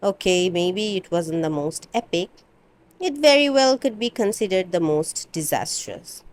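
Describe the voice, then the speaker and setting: A woman reading a first-person novel aloud in an expressive, dramatic amateur audiobook style. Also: on the low side, measured pace. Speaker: amateur book reader.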